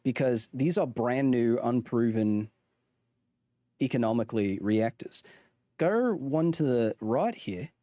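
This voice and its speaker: A man's voice: medium pitch, lively intonation, takes it slowly, dark tone, no echo, studio quality. Man in a mock Aussie accent. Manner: putting on a mock Australian accent; rambling, with frequent restarts and self-corrections. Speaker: streamer. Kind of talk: casual livestream commentary on a chart.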